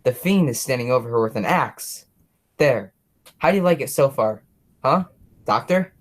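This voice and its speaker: A man's voice: mid-range voice, lively intonation, moderate pace, lightly acted. Young man as a chatty, oblivious policeman. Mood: eager, self-absorbed. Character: chatty, oblivious policeman and eager amateur playwright. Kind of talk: radio play acting.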